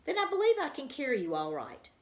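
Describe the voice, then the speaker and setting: A woman's voice: sits high, huge pitch swings, fast talker, voice pushed up, heavily acted. A woman voicing a small female bird who sounds eager and practical, heard in an echoey room.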